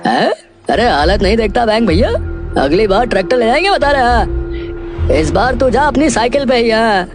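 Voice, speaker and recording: Woman as animated, bullying village loan collector. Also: fairly high pitch, moderate pace, music in the background, close to an everyday voice. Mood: mocking threat. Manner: threatening, drawling, mocking. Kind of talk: dramatised cartoon story.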